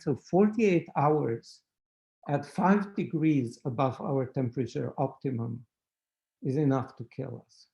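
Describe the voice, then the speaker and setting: Man from Transylvania, lecturer, warm mellow voice, keeps asking "right?". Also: mid-range voice, creaky, dull audio. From Romania (Transylvania). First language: Romanian. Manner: explanatory, frequent "right?" checks with the audience. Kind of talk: scientific lecture.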